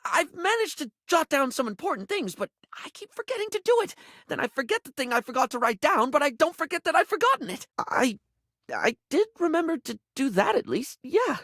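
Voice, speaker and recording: A man's voice: very high voice, very animated, brisk, fairly bright tone, no room sound, clean studio sound, exaggerated voice. A man voicing a flamboyant, scatterbrained, affectionate beauty-product character in a video game, with a theatrical, gushing and rambling delivery that stammers at times. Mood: flustered, chatty.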